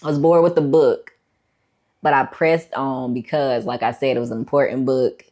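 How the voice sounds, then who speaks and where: A woman's voice: a bass voice, fairly even intonation, normal speed, a little mellow. A woman talking casually as a book review vlogger, conversational and emphatic, repeating herself to make a point.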